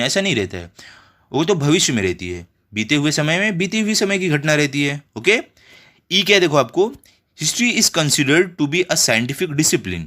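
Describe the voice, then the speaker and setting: Animated male teacher with a bright, higher-pitched voice. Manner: frequent check-in questions to pupils.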